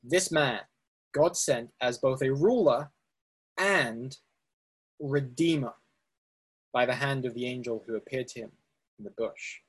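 A man's voice: medium pitch, unhurried, long pauses, slightly rough, rough recording. A man teaching a Bible study session, explaining step by step with fillers and restarts, speaking to slides on a screen. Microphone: presentation recording with on-screen slides.

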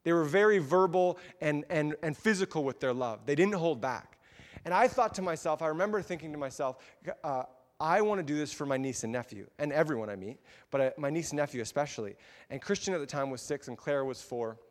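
Male preacher, fairly high voice, animated storytelling, echoing church hall. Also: a little fast. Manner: animated, acts out quotes with big pitch jumps. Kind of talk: storytelling sermon. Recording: long hall reverb.

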